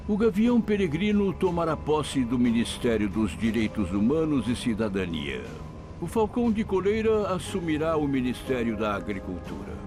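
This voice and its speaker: A man plays an authoritarian military general in an audio drama, cold and declamatory. His pitch moves widely as he issues formal orders, with music running underneath. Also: close to an everyday voice. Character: commanding male general plotting a coup, authoritarian, cold.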